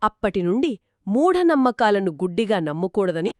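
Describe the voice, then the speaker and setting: A woman narrating a folk tale in a lively, expressive storytelling manner, trading lines with a co-host. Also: medium pitch, normal speed, dry recording, clean studio sound. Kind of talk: scripted two-voice story narration.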